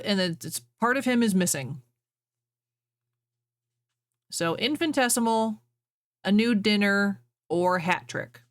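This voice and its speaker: A woman's voice: medium pitch, dry recording. A woman livestreamer chatting casually with viewers and reading fan fiction aloud.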